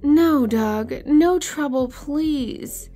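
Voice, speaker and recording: A woman's voice: high voice, extremely slow, mellow. A woman playing an anxious, pregnant young wife in a horror audio drama, hesitant and pleading. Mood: uneasy.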